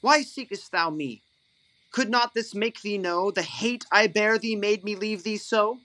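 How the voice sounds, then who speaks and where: An actor playing an ardent young lover with a cutting streak in a Shakespearean audio drama, declaiming verse earnestly. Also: male voice, high-pitched, measured pace, pushed and bright, poor audio. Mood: cold, ardent.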